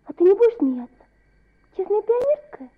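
A woman's voice: very high voice, very animated, normal speed. A woman playing a shy, coy schoolgirl in a Soviet radio play. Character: shy, coy schoolgirl confiding a secret crush. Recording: tinny old radio play recording.

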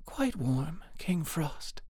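Storybook young maiden played by a man: raised pitch, soft breathy tremble, meek and courteous. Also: very animated, extremely slow, dry recording, exaggerated voice. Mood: brave, gentle.

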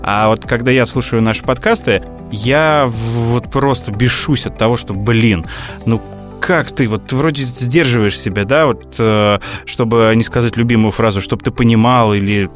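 Male podcast co-host, medium pitch, rambling conversational delivery with hesitations, light music bed. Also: normal speed. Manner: hesitant, digressive, with many filler words. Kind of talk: casual conversational storytelling.

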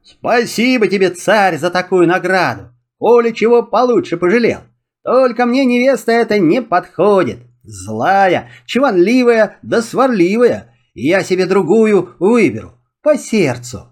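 Man playing a lively high-voiced Cossack hero. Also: measured pace. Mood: wry, defiant. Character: bold, cheerful, free-spirited Cossack hero, plain-spoken.

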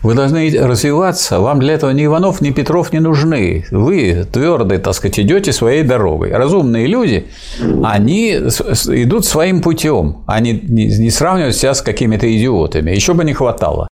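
An older man discussing philosophy in a relaxed academic conversation, teaching with questions and frequent fillers. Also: medium pitch, brisk. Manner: didactic, questioning, with many fillers and restarts.

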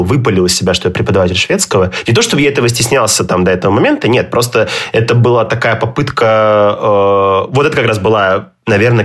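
A man who teaches Swedish, talking through his experiences as a podcast guest, reflective, pausing and restarting with many fillers. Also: lowish voice, fairly bright tone. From Russia. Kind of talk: casual interview chat.

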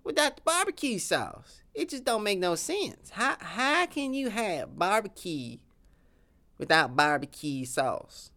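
A man's voice: high voice, very animated, unhurried, sharp tone, exaggerated voice. A man voicing a boastful folksy Texan cowboy with a Southern accent, talking in a drawling, ungrammatical, blustering way. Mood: indignant. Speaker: interview guest.

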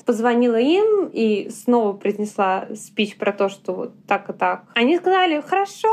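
A woman from Russia, a podcast guest, telling personal stories candidly in a lively way and acting out the dialogue. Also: on the high side. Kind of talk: casual storytelling.

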